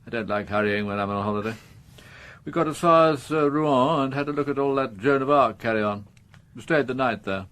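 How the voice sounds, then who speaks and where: An actor playing a fussy upper-class English gentleman in a radio crime drama, languid, grumbling and well-mannered. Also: mid-range voice, brisk, natural voice.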